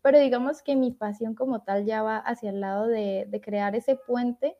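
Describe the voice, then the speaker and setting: High-voiced chatty young Latin American woman. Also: steady tone, moderate pace. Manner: chatty storyteller who laughs often. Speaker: guest, translator. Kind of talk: casual podcast interview. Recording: dull lo-fi audio.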